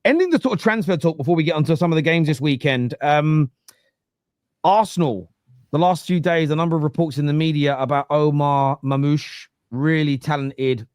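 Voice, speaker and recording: A man's voice: on the high side, moderate pace, a little mellow, no room sound, studio quality. A man hosting a football podcast, reading an ad and asking questions in a jokey, loose and hesitant way. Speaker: podcast host. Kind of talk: casual football chat and ad read.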